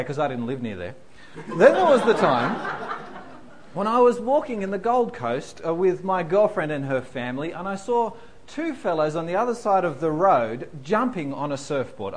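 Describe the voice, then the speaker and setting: A man's voice: fairly high pitch, a little hiss or hum. A man preaching a sermon, telling funny stories about himself before turning serious. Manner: self-deprecating storyteller, animated, laughing through anecdotes. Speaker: pastor.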